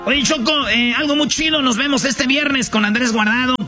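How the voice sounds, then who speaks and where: A man's voice: extremely high pitch, normal speed, pushed and bright, faint music behind. A man playing a chatty, folksy rancher with a Michoacán Mexican accent on a comedy radio show, rambling and stammering as he goes. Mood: upbeat, chatty. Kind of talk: comic prank call and radio banter.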